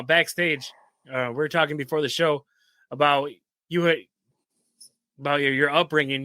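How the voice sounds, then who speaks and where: A man's voice: fairly high pitch, pushed and bright, dry recording. A man hosting a casual interview, asking eager questions with many restarts. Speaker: podcast host.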